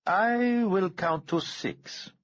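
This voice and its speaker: Guy reading as a creepy calm bomber, high strained voice, super slow, muffled audio. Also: no room sound. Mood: menacing. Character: menacing man with bomb, slow, cold.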